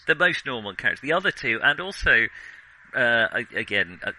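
A man's voice: mid-range voice, very animated, a little fast, pushed and bright, low-quality recording. A man co-hosting a podcast, discussing films casually in a hesitant, discursive way that often corrects itself. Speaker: podcast co-host.

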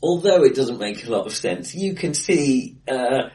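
A man co-hosting a casual film discussion, speaking in a hesitant, halting but enthusiastic way in a bright-sounding room. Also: medium pitch, big pitch swings. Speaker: podcast co-host. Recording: distant, bright echoey room.

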